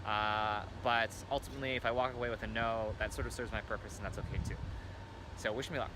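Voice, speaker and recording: A man talking informally to a vlog audience, thinking aloud in a halting way. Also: lowish voice, steady tone. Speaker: vlogger. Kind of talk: casual spoken vlog, informal talk. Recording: noisy outdoor ambience with traffic.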